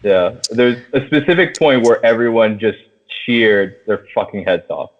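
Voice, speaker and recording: A man's voice: fairly low pitch, animated, normal speed. A man hosting a podcast in a casual, enthusiastic, sweary chat about a film, full of filler words. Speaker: podcast host. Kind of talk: casual movie review chat. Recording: thin phone-like audio.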